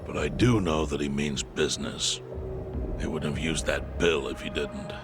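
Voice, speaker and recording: Very deep, grave crime chairman. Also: steady tone, over loud music. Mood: serious, explanatory. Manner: deliberate, solemn, cryptic. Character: grave, knowing older male crime syndicate chairman. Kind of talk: English video game dub acting.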